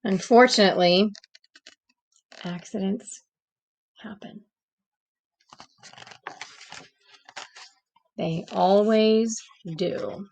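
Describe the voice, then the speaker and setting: A woman voicing a gentle, anxious but persevering egg who narrates a picture book, with deliberate, expressive pauses. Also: medium pitch, gravelly, rough recording. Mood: anxious, determined. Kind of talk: picture book read-aloud.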